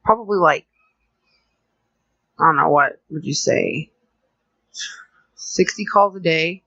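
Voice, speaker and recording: A woman co-hosting a casual podcast, rambling in a chatty, animated way, her voice sore and tired. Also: low-pitched, slow pace, vocal fry, dry recording, highs cut off. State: voice sore from phone work. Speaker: podcast co-host.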